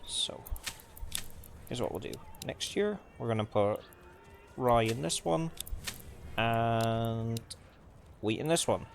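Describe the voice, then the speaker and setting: A man giving casual, subdued gameplay commentary in halting phrases, his voice slightly off from a sore throat. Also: mid-range voice, lively intonation. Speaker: video game let's player. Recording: clearly noisy background.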